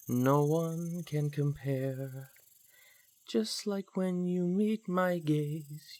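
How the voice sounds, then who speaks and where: A man's voice: fairly high pitch, darkish tone. A man voicing a possessive, seductive naga serpent hypnotist in a fan audio drama, coaxing his lover with a theatrical villain purr. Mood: crooning, hypnotic. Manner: slow, coaxing, theatrical villain purr, often near whispering.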